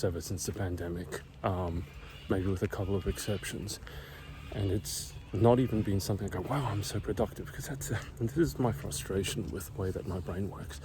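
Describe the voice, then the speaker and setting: A man's voice: deep voice, background noise. Breathless whispering man walking outdoors. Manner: whispering, hushed. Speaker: vlogger. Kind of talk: casual walking vlog monologue. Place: outdoors, walking uphill in a quiet neighborhood.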